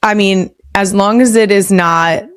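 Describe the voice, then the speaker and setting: A woman's voice: mid-range voice, steady tone, measured pace, clear, resonant tone, no room sound, studio quality. Teasing woman podcast host in her thirties. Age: 30s. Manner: warm, teasing, laughs often. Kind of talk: casual chat.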